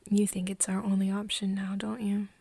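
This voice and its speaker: A woman playing a nervous, tender young friend of a vampire in an ASMR roleplay, speaking softly and hesitantly, often slipping into a whisper. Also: medium pitch, monotone, no room sound. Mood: hesitant, anxious. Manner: hesitant, halting, breathy whispering mixed with soft speech. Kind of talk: ASMR roleplay, intimate one-sided dialogue to listener.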